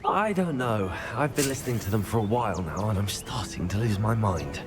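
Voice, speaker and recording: Casting: man as steady young wizard student, polite and measured, very varied intonation, game cutscenes, light music underneath. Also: on the low side, lightly acted. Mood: calm, curious. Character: earnest, steady teenage wizard student, male. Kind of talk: video game cutscene acting.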